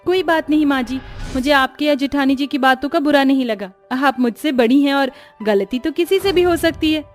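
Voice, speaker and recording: A woman's voice: high voice, moderate pace, faint music behind, lightly acted. A woman voicing a meek, polite young bride from a poor home in a Hindi cartoon story. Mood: gentle. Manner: polite, submissive.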